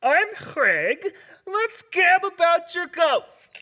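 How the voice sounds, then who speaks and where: Super squeaky chirpy hunchback radio host, clueless, swoopy slow delivery. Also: male voice, sing-song, pushed and bright, full character voice. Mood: eager. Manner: chirpy, oblivious, repetitive. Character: eager, dim, oblivious male hunchback handyman. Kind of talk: comic radio call-in host.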